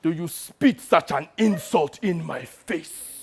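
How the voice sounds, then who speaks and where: A man performs an imperious African general in a comic stage story. He is indignant and theatrical, booming out each phrase slowly, with a high voice that swings widely. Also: lively intonation, a bit bright, heavily acted. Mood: outraged, imperious. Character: pompous, outraged African warlord general in a film rehearsal.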